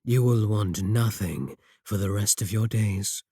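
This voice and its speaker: A man playing a seductive, possessive evil warlock in an intimate romantic audio roleplay, teasing and deliberate, with a husky voice. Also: fairly low pitch, dark tone, no room sound, clean studio sound. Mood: possessive, pleased. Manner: slow, teasing, deliberate, with drawn-out phrasing.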